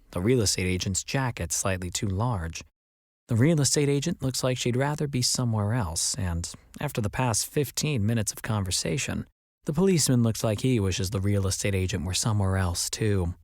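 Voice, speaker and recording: A man reading as an audiobook narrator, in an expressive scripted storytelling style. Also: fairly low pitch, moderate pace, no echo, studio quality.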